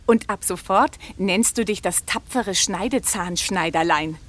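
A woman's voice: medium pitch, lightly acted. A woman playing a bossy, resourceful chatterbox who coaxes and scolds in a children's radio play. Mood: coaxing. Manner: brisk, coaxing, scolding.

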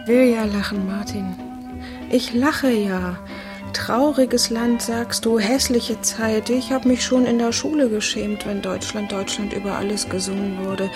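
A woman's voice: on the high side, fairly even intonation, measured pace, close to an everyday voice. An actress playing a proud, independent young woman in a radio drama, matter-of-fact and earnest. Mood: melancholy, earnest. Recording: clear music behind.

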